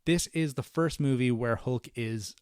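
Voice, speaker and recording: A man's voice: mid-range voice, unhurried, clear, resonant tone, no echo, clean studio sound. Chatty man recapping a movie. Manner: chatty, quotes lines in animated voices. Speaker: podcast co-host. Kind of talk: casual movie recap chat.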